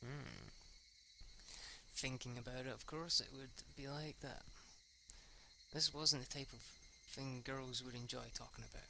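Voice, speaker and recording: A man's voice: mid-range voice, fairly even intonation, measured pace, creaky, sharp tone, a little hiss or hum, poor audio. A man reading a visual novel's narration aloud as an amateur, stumbling over words and rereading phrases. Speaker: reader of a visual novel playthrough. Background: music.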